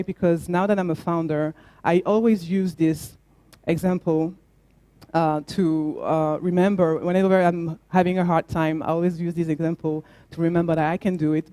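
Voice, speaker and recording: Mellow-voiced French woman founder speaking. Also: on the low side, dry recording. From France. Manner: earnest, with frequent fillers and restarts. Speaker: startup founder. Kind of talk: conference talk.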